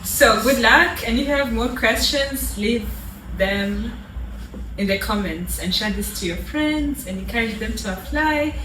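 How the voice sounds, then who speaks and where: A young woman, a college student hosting a casual panel, lively and teasing. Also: a little high, unhurried. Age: young adult. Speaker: host. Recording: roomy, from a distance, background noise.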